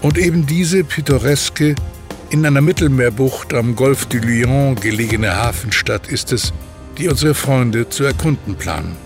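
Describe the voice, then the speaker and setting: Casting: male narrator, medium pitch, formal scripted storytelling for audio drama, clear music bed. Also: expressive, a little slow.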